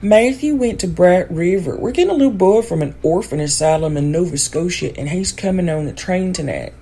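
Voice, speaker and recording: A woman voicing a brisk, prim, severe middle-aged farm woman from a storybook, matter-of-fact in manner. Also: fairly low pitch, expressive, mellow, slightly rough. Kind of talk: storybook character voice in an audiobook reading.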